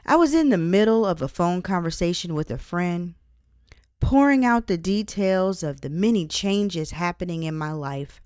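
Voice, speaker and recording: A woman's voice: lowish voice, expressive, normal speed, a little mellow, smooth, no echo, clean studio sound. A woman reading her own book aloud as scripted audiobook narration.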